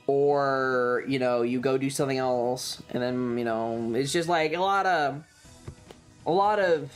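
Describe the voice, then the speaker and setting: Video game streamer, casual livestream chat, medium pitch, rambling, blunt, sweary, background music.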